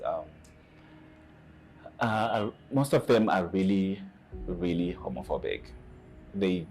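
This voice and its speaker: A man from Zimbabwe, earnestly recounting his own experiences and pausing to search for his words. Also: deep voice, a little mellow, slight background noise. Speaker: asylum seeker telling his story. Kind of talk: personal testimony to camera.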